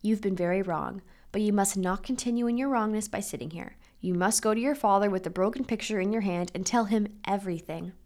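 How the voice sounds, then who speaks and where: A woman voicing a wise storybook nanny who counsels firmly but gently, with patience. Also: mid-range voice, brisk, hardly any pauses, lightly acted. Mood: firm, gentle. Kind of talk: storybook character reading.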